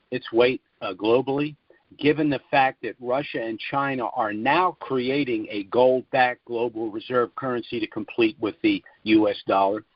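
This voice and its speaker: A man presenting financial commentary on a conference call, explaining in a deliberate, formal way with some hesitations. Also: mid-range voice, dry recording. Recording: dull, dry lo-fi conference call audio.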